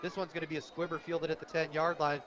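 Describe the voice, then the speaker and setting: An American man doing live radio play-by-play of a football game in an energetic, conversational way. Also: on the high side, fast talker. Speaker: radio play-by-play sports announcer. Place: outdoors at a high school football game. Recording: outdoor stadium broadcast with crowd noise. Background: crowd at a stadium.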